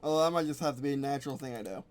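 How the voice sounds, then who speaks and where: A man's voice: mid-range voice, rapid-fire. Rambling man muttering to himself. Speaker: game designer streaming his work. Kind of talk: casual thinking aloud while editing.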